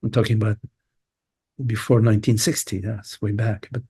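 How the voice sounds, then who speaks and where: An older man leads a satsang over a video call, his speech wandering and full of pauses and fillers. His voice is low and husky. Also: flat delivery, dark tone. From Lebanon. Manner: meandering, halting, many fillers. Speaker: spiritual teacher. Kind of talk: satsang talk.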